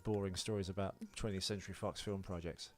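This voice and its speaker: A man hosting a casual film podcast, chatting in an animated, teasing, mock-incredulous way with his co-host. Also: sits low, quick pace. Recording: music playing behind the talk. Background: orchestral film score.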